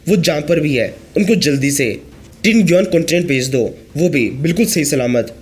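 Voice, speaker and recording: Hoarse husky guy voicing a proud young prince, scheming, indignant, pitch a bit high. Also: moderate pace, darkish tone. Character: arrogant young male prince, shaken but scheming.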